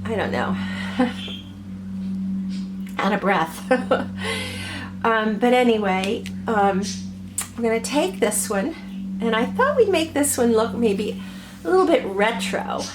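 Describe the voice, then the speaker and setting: Slow-talking woman with a cold. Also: on the high side, animated, over music, low-quality recording. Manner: gentle, meandering, many ums and uhs. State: has a cold, a little hoarse. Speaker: crafter showing her ornaments. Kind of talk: slow, chatty craft tutorial.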